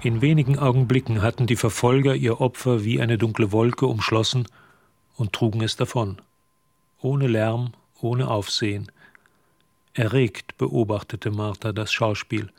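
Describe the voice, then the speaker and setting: An actor narrating a dark radio drama as a sober, measured, matter-of-fact storyteller. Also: male voice, mid-range voice, steady tone. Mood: measured, ominous. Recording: music under the voice. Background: music.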